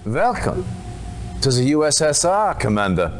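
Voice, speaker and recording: An actor playing a stern, wry military commander, delivering formal, theatrical mission briefings in a video game cutscene. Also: male voice, a little high, big pitch swings, moderate pace, soft background music. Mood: grave, commanding. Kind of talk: scripted game cutscene acting.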